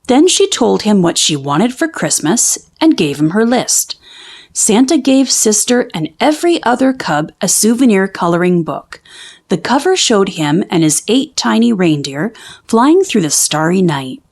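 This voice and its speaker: A woman reading a children's storybook aloud as an expressive narrator. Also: medium pitch, unhurried.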